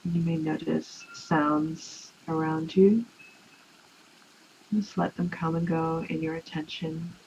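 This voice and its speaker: A woman leading a guided relaxation meditation in an unhurried, soothing way, leaving long pauses. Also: low-pitched, fairly even intonation, a little mellow. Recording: muffled, with clear background noise.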